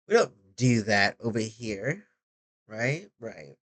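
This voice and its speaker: A man's voice: mid-range voice, very animated, very slow, a bit bright. A young man rambling through a casual video diary, chatty and often interrupting himself. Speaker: vlogger.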